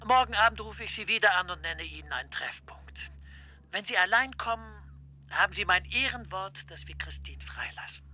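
A man's voice: sits high, animated, normal speed, sharp tone, heavily acted. A man playing a menacing, controlled official who makes formal, veiled threats over the telephone in a radio drama. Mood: coldly threatening. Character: menacing, smooth-talking official blackmailing by telephone. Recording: tinny, noisy phone call.

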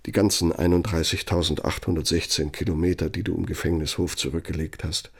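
An actor playing a pompous, bitter older former Nazi functionary dictating in a German radio play, sardonic and domineering. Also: male voice, sits low, level tone, a little fast, vocal fry, slightly rough, full character voice. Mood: sardonic, reminiscing.

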